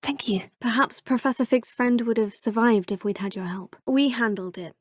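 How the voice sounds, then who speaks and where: Woman as young witch student, polite, measured, lightly teasing, slightly high voice, dry studio sound. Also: dry recording, close to an everyday voice. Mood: proper. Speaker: player character, student. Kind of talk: game voice lines. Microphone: close studio mic.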